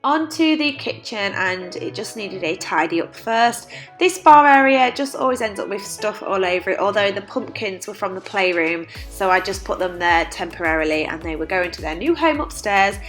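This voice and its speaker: A woman's voice: mid-range voice, expressive, moderate pace, fairly bright tone, faint music behind. A young woman with a stuffy, congested voice from a cold, chatting casually in a voice-over. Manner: chatty, with frequent "like" fillers. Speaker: cleaning vlogger.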